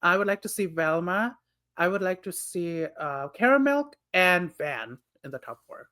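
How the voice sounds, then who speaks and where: A man's voice: sits high, lively intonation, moderate pace, pushed and bright, smooth. A man who grew up in Nepal, a guest on a podcast, chatting casually and teasing with frequent laughter. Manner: giggly, teasing, bursts of laughter.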